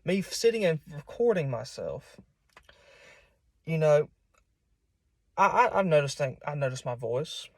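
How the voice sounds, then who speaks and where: A young man preaches informally in a folksy Southern American accent. His voice sits a little higher than most men's and has a rough, husky grain. He talks conversationally, as if recording himself alone, and the sound is dry. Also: very animated, a little slow, pauses often, dry recording. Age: young adult. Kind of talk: informal sermon. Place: solo self-recording.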